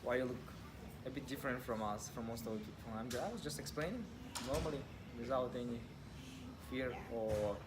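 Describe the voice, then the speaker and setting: A man's voice: mid-range voice, brisk. A young man from Kazakhstan, answering interview questions in a hesitant, guarded way. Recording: noisy background.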